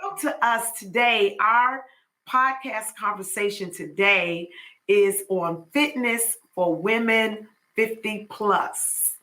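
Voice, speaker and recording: A woman's voice: medium pitch, slow, sharp tone. A woman in her fifties hosting a podcast, reading a guest introduction and then chatting playfully and effusively. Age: 50s. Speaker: podcast host.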